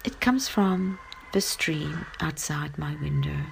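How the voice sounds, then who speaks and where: A woman narrating a guided sleep story in a gentle, soft and breathy voice, often close to a whisper, with long pauses. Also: low voice, background noise. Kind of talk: soothing bedtime story, guided relaxation. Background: music.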